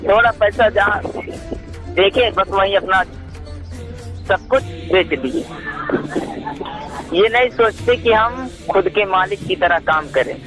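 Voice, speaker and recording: A man's voice: high voice, takes it slowly, pauses often. A man talking on a phone call as an activist, deferential but insistent, often repeating himself. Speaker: activist caller. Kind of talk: casual phone discussion. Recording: live call recording with music behind.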